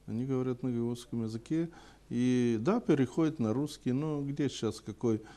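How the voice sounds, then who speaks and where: Guy being interviewed on tv, really dark soft-edged voice, middle pitch, halting and deliberate. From Gagauzia, Moldova. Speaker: TV programme guest. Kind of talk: unscripted interview answer.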